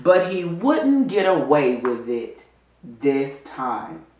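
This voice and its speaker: A woman reads an adventure story for children in an echoey room. Her voice is bright and clear-cut in its storytelling, with lively swings in pitch. She takes her time, delivering the scripted narration with deliberate drama. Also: lo-fi sound. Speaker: storybook reader.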